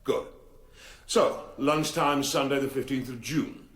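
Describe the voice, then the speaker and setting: An actor playing a patient examining lawyer in a radio courtroom drama, questioning in a coaxing, deliberate way. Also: male voice, mid-range voice, fairly even intonation, fairly bright tone, echoey room.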